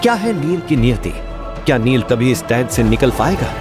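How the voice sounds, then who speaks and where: A man's voice: medium pitch, big pitch swings, normal speed. A man narrating a fantasy audio drama in a dramatic, scripted storytelling style. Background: background music.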